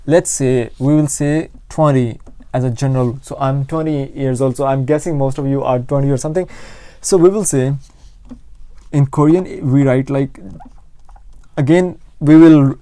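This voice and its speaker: Mellow male language tutor with Pakistani accent. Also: mid-range voice, no echo. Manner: explanatory, repeats phrases slowly for learners. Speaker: language teacher and channel host. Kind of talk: informal language lesson.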